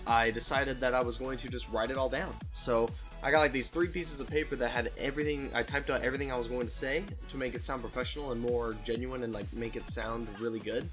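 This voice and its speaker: A man's voice: medium pitch, fairly even intonation, quick pace, few pauses, a bit bright. A man in his late teens telling personal stories in a casual, rambling, candid and self-deprecating way, swearing freely like a YouTuber on a solo talk show. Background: background music.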